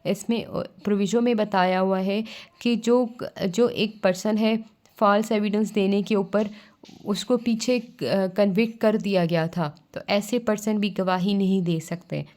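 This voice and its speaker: A woman with a Pakistani accent giving a lesson as a teacher, reading out passages and explaining them, switching between Urdu and slowly read English. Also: medium pitch, fairly even intonation, darkish tone. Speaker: law teacher.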